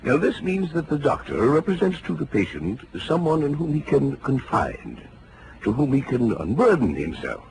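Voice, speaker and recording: An actor playing an excitable older psychoanalyst, fussy and lecturing, in 1940s radio drama style. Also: male voice, medium pitch, moderate pace, creaky. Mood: lecturing, probing. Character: excitable, analytical older male psychoanalyst doctor. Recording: noisy old radio recording.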